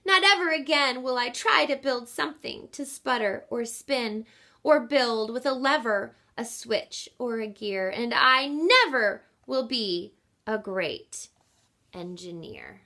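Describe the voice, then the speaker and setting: Woman playing discouraged little girl, slow, dramatic. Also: fairly high pitch, animated, sharp tone, heavily acted. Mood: despairing. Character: discouraged, embarrassed young girl inventor.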